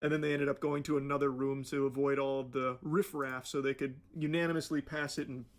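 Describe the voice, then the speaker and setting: A man's voice: medium pitch, little pitch movement, brisk, slight background noise. A man giving casual, opinionated commentary in a sarcastic, scornful way with some swearing.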